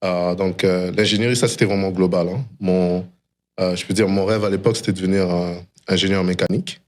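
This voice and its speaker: A middle-aged man with a low-pitched voice speaks as a guest in a conversation. He recounts things reflectively, in a storytelling way, and drops English phrases into his speech. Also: fairly even intonation, fairly quick, a little hiss or hum. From DR Congo and Canada. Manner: mixes English phrases into French. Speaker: interview guest, tech founder.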